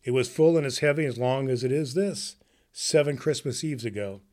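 A man's voice: medium pitch, moderate pace, darkish tone, close to an everyday voice. A man voicing a mournful ghost bound in chains, reading aloud from a storybook.

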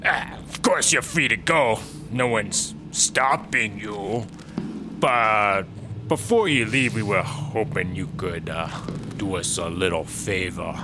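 A man's voice: lowish voice, expressive, slow pace, background noise. A man playing a polite, wheedling synagogue conspirator in a comic audio drama, speaking in a courteous, insinuating way. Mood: politely insinuating.

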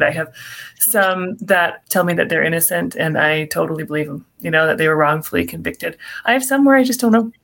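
A woman with a slight Minnesotan accent, an appellate attorney answering interview questions in an earnest, measured way, with many "you know" fillers. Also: fairly low pitch, creaky, fairly bright tone.

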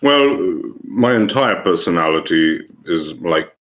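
A young man with a Serbian accent talks as a podcast guest, his voice sitting very low. He picks his words thoughtfully and often stops to restart a phrase. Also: animated, a bit bright. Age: young adult. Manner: thoughtful, halting, frequent restarts. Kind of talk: conversational podcast interview.

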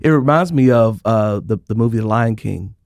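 Male interviewer, low-pitched, dry close mic; encouraging and affirming. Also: animated, moderate pace, creaky, a little mellow, no room sound. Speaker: podcast host. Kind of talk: conversational interview.

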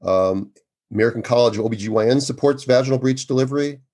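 A man in his sixties, an obstetrician speaking as a guest in an interview, with a loud, emphatic and earnest way of talking. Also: medium pitch, fast talker. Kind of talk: conversational interview.

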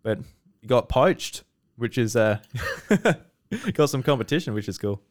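A man's voice: medium pitch, very animated, a little slow. A man interviewing game developers in casual chat, talking loosely with lots of fillers. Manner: chatty, lots of fillers and laughs.

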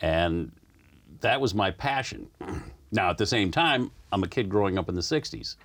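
A man with a slight Southern American accent, a guest telling easygoing, rambling stories in a relaxed interview. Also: deep voice, moderate pace, creaky. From United States.

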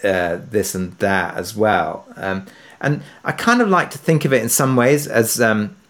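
A man speaking conversationally as an interviewed producer, halting and self-effacing, often rephrasing as he goes. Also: on the low side, a bit bright. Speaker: audio drama writer and producer being interviewed.

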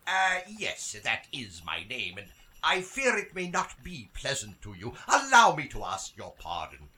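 An actor playing a reformed old miser in a radio play, earnest, effusive and chuckling, with formal politeness. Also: male voice, mid-range voice, wildly swooping pitch, measured pace, sharp tone, distant mic, full character voice. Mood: earnest, contrite. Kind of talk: theatrical radio play acting.

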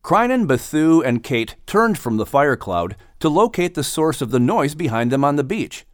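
Animated male storyteller with a highish voice. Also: moderate pace, no echo, studio quality. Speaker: announcer and audiobook narrator. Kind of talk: animated scripted narration for children.

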